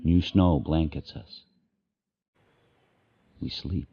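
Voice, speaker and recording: An actor playing a grim, watchful sled dog who narrates his harsh life in spare, deliberate sentences for a radio play. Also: a bass voice, fairly even intonation, husky, lo-fi sound, heavily acted. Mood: hushed, bleak. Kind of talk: first-person dramatic narration in a radio play. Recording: faint background noise.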